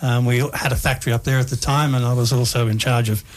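An older Australian man telling his life story as a radio guest, relaxed and hesitant, with frequent ums. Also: mid-range voice, fast.

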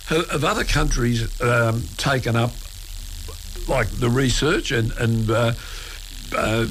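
A man's voice: mid-range voice, slow, fairly bright tone, a little hiss or hum, low-quality recording. Casual male interviewer. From Australia. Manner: casual, hesitant with fillers. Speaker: radio host. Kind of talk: interview questions.

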